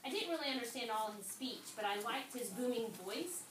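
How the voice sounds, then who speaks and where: A woman teacher reading aloud and instructing a fifth-grade class, pausing to pose questions to her students. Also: fairly high pitch, expressive, fairly bright tone. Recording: roomy, distant, noisy classroom recording.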